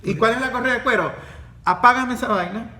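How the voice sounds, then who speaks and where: A man's voice: extremely high pitch, brisk, reverberant. A middle-aged man with a Venezuelan accent, talking animatedly in a casual podcast and often restarting his sentences. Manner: animated storyteller with frequent restarts. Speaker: podcast host and course facilitator.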